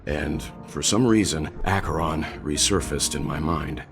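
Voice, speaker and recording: An actor playing a solemn, brooding man in a game, speaking formally and deliberately. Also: a bass voice, gravelly, music in the background, exaggerated voice. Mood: grave, reflective.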